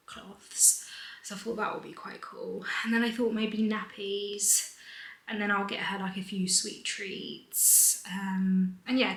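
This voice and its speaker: A young woman with a British accent, chatting casually to camera in a weekend vlog, a little hoarse and drifting into half-whispers. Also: mid-range voice, bright, forceful tone, roomy sound, rough recording. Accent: British English. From United Kingdom. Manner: breezy and rambling, sometimes half-whispered. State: slightly hoarse. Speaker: vlogger.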